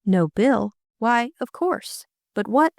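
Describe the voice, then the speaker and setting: A woman's voice: fairly high pitch, lively intonation, takes it slowly, vocal fry, no room sound, studio quality, pitched above the performer's own voice, full character voice. A woman voicing a bold, eager young lady amateur sleuth in an audiobook, earnest and insistent. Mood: surprised. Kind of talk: audiobook character acting.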